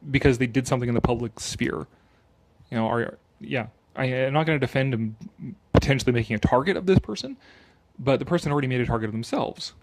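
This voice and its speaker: A man doing a dramatic reading with off-the-cuff commentary, animated and sarcastic in his manner. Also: medium pitch, noisy background.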